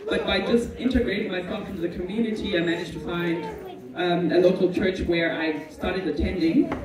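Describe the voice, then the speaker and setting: A woman's voice: fairly low pitch, fairly even intonation, moderate pace, far from the mic, crowd noise. Earnest woman in an echoey crowded hall. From Zimbabwe. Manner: earnest, reflective, with frequent ums. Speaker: pharmacist speaking at a community event. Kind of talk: personal testimony to an audience. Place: large hall at a multicultural event.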